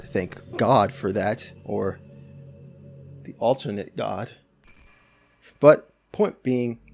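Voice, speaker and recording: A man's voice: lowish voice, a little mellow. A young adult man giving casual, rambling video commentary full of restarts and slang. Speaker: YouTube commentator.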